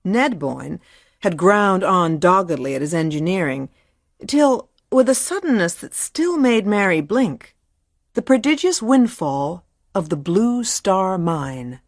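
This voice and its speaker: An actress playing a wry literary lady who narrates a radio drama in a measured, deliberate manner. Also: on the low side, very animated, no room sound, clean studio sound, exaggerated voice. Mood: wry, brooding.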